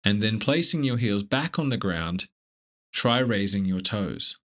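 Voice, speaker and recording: A man leading a scripted guided meditation in a gentle, measured, instructive manner. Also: lowish voice, expressive.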